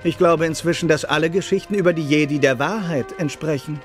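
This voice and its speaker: A man plays a good-humoured alien king in an audio drama. His voice sits a little high, stately and formal, with soft music behind it. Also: brisk, lightly acted. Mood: amused.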